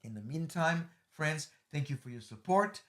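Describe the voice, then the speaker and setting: A man's voice: on the high side, lively intonation, normal speed. A middle-aged man hosting a ministry podcast, closing an interview with rambling, filler-heavy but earnest appeals. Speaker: host, ministry leader.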